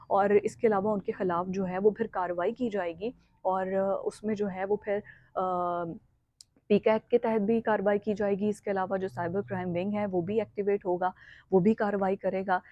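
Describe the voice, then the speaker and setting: A woman's voice: mid-range voice, fairly even intonation, normal speed. A woman with a Pakistani accent giving current affairs commentary as a vlogger, in an emphatic way. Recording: echoey room, slightly dull sound.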